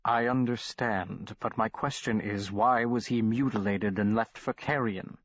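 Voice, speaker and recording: A man's voice: lowish voice, a little slow, no echo. An imperious, curt scientist, as a male narrator puts him on, clipped and indignant. Dry, slightly dull audiobook sound. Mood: impatient.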